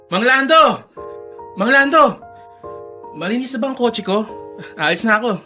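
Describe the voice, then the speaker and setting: Arrogant young rich son, Filipino accent, radio drama, scornful, commanding, spiteful, light music underneath. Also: male voice, very high voice, animated, measured pace, pushed and bright, exaggerated voice. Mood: impatient. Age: young adult.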